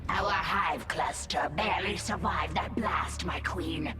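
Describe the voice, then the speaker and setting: A woman's voice: on the low side, takes it slowly. An actress playing a ruthless but dutiful alien insect brood mother in a video game, with a stilted, formal, menacing manner. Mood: grim, urgent. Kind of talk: video game cutscene acting. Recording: echoey, under loud music.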